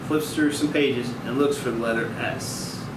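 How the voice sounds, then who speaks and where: A man narrates screenplay directions in a halting read-through. His voice is mid-pitched and distant from the mic. Loud background noise fills the echoey room. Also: fairly even intonation. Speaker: narrator reading stage directions.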